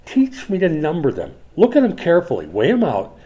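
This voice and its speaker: A man's voice: a little high, wildly swooping pitch, fairly quick, mellow. A man in his sixties preaching a sermon, reflective, rising with emphasis when he reads scripture. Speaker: pastor.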